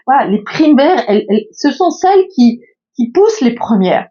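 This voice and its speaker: Woman in her 50s, higher pitch, warm and hesitant, lo-fi bedroom recording. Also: sing-song, normal speed. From France. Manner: hesitant, many fillers and restarts. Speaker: spiritual coach. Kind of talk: casual reflective monologue.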